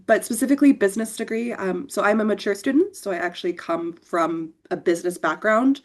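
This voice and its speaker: An American woman from Seattle, a mature student speaking casually on a panel over a video call. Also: fairly low pitch. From Seattle, USA. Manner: casual, laughs easily.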